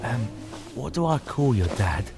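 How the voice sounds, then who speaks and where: A man's voice: mid-range voice, big pitch swings, unhurried, gravelly, over music. A man acting a cheeky, awkward young visitor in an audio drama, with an incredulous, hesitant bantering manner.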